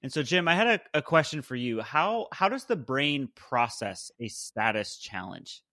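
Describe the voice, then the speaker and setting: A man's voice: mid-range voice, big pitch swings, normal speed, bright, forceful tone, no room sound, clean studio sound. A man hosting a podcast, thoughtfully posing questions to his co-hosts. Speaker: podcast host. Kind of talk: casual conversation.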